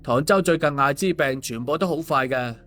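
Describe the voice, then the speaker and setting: A man's voice: a little high, brisk, a bit bright, faint music behind, natural voice. A man voicing a glib, cheeky male schemer in an audiobook, teasing and mock-sincere with exclamations. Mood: needling. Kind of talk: audiobook dialogue.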